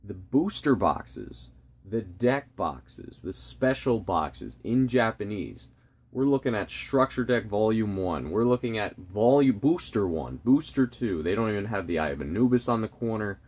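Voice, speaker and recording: A man with a fairly low voice speaks as the host of a collecting channel. He gives his opinions casually and straight to the viewer. He leans on key words and repeats phrases to press them home. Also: expressive, unhurried, darkish tone, husky.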